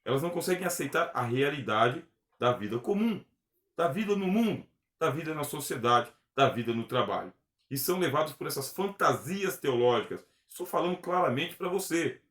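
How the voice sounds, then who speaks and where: A man's voice: a little high, lively intonation, normal speed, fairly bright tone. A man teaching a recorded theology lecture, earnest and emphatic, often asking rhetorical questions. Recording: echoey room.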